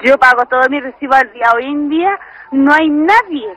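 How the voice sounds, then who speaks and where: A woman's voice: high-pitched, a little fast, a bit bright. A woman of about thirty, arguing defensively and indignantly on a phone call. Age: 30s. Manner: indignant, argumentative.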